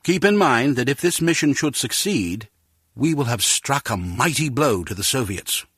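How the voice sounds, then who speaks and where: An earnest spy director in a video game. His voice is husky and mid-pitched, grave and measured, recorded dry. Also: lively intonation, fairly bright tone, dry recording. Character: earnest, formal male spy agency director. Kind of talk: video game voice acting, scripted briefing.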